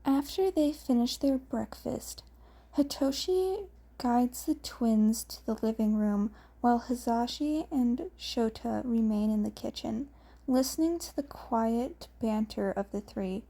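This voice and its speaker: Slow, mellow woman reading a story. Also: on the high side, creaky. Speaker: fan fiction podfic reader. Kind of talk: scripted story narration, read aloud.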